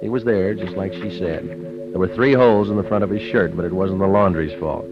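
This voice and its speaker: An actor playing a wisecracking private detective, deadpan and clipped, narrating his case in a vintage radio noir drama. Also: sits low, brisk, music in the background, lightly acted. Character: wisecracking, hard-boiled male private detective. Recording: dull vintage radio recording.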